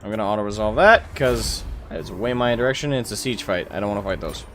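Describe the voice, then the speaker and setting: A man's voice: on the low side, lively intonation, fast talker, fairly bright tone, a little hiss or hum. A man streaming a strategy game, chatting casually and laughing at himself. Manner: self-deprecating, laughs often. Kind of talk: casual gaming chat.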